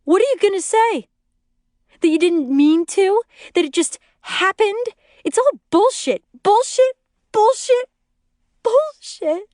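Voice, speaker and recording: Woman acting a shrill, hysterical screaming young wife. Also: huge pitch swings, moderate pace, few pauses, a bit bright, dry recording, studio quality. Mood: crying, screeching. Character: hysterical pregnant young wife, jealous, screeching, accusing. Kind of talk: acted audiobook dialogue.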